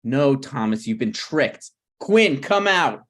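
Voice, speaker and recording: A man's voice: mid-range voice, big pitch swings, slow, fairly bright tone, heavily acted. A man playing a stubborn, snarky broke writer with dry, needling delivery in a comedy table read. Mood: smug.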